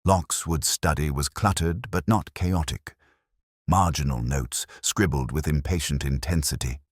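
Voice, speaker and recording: A man voicing a brooding, literate police inspector who recounts a case in measured, reflective and formal scripted narration. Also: rumbling low, slightly rough, no room sound, studio quality, exaggerated voice. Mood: brooding, observant. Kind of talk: scripted first-person detective audio drama narration.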